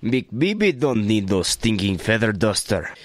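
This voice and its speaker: Man as McBeebe, an animated cocky leprechaun. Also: lowish voice, slow pace, exaggerated voice. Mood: cocky, confused. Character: cocky dim-witted leprechaun cattle rustler McBeebe. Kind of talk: improvised comedy radio theater.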